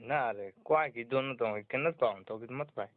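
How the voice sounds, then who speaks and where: A man's voice: brisk, no room sound. Laconic Gujarati village man on a phone line. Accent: rural Gujarati. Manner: laconic, short replies and low hums. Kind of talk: casual private phone chat. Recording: muffled, band-limited phone call recording.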